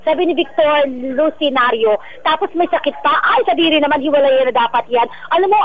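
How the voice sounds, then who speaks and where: A Filipino woman with a high voice co-hosts a radio show in a playful, slangy style. She banters casually as she goes through listener messages. The sound is dull and band-limited, as if over a remote link. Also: brisk, slight background noise. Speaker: radio co-host.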